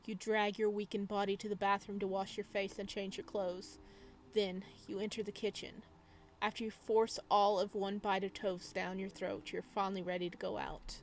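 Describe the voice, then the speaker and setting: A woman reads visual novel narration aloud for a gaming video. Her voice is mid-range in pitch. Faint noise and game ambience sit underneath. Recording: some background noise under voice.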